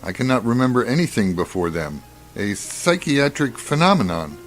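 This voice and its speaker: An actor playing a reserved, haunted doctor in a radio drama, speaking formally and with detachment. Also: male voice, medium pitch, big pitch swings, normal speed, soft background music, natural voice. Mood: grim, detached. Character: reserved male doctor, a haunted war camp survivor.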